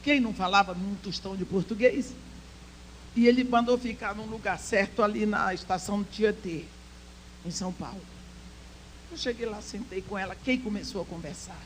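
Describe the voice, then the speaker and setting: A woman whose first language is English, preaching with passion at a women's church conference, swinging between loud proclaiming and hushed storytelling. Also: medium pitch, normal speed, slight background noise, low-quality recording. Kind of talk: sermon.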